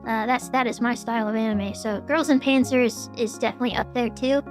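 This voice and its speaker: A woman VTuber answering viewer questions in a casual vlog, with a gravelly, strained voice and a throat getting sore, rambling with many fillers and restarts. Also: a little high, fairly even intonation, fairly quick, music in the background.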